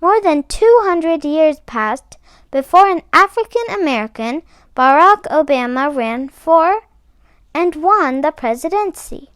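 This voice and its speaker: A woman reading a children's picture book aloud as a storyteller, phrasing deliberately and stressing key words. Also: high-pitched, lively intonation, fairly bright tone, dry recording. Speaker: read-aloud book club host.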